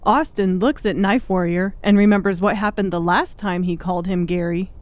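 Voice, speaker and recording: A woman's voice: mid-range voice, normal speed, no room sound. A woman reading scripted, dramatic audiobook narration.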